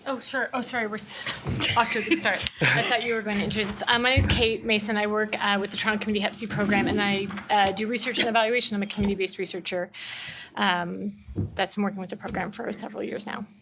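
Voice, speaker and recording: A woman's voice: mid-range voice, steady tone, fast talker, background noise. A woman researcher introducing herself informally and off the cuff on a panel.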